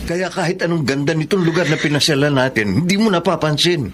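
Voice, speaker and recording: An actor playing a jealous, hurt lover in a radio drama, reproachful and insistent. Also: male voice, a little high, fast, a bit bright, a little hiss or hum, natural voice. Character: jealous, hurt male lover demanding full attention. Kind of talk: Tagalog radio drama acting.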